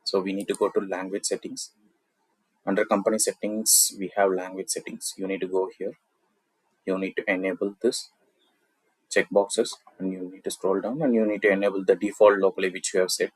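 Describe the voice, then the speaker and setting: A man with a South Indian accent, a native Tamil speaker, presenting a software tutorial in an explanatory walkthrough style. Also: deep voice, little pitch movement, brisk, slightly rough, dry recording, low-quality recording.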